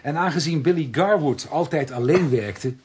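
Husky, drily emphatic police detective. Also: male voice, on the high side, moderate pace, darkish tone. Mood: dry, emphatic. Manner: matter-of-fact reporting, drily emphatic. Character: dry, wordy, thorough police detective. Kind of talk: radio crime drama acting.

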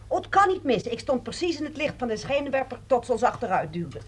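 A woman's voice: sits high, very animated, quick pace, slight background noise. An actress playing a vain, gossipy woman gushing breathlessly down the telephone in a radio play. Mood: excited, self-satisfied. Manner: breathless gossipy chatter, self-absorbed. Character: vain, gushing woman excited at having witnessed a disaster. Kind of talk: radio play acting, one side of a phone call.

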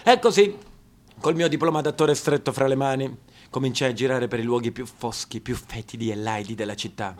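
A man's voice: mid-range voice, fairly quick. A man from southern Italy performing a theatrical stage monologue, with a rhythmic, rhyming delivery that often slips into song. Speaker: actor and storyteller. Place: theatre stage, radio broadcast of a live show. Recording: music playing under the voice. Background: live band with guitar and accordion.

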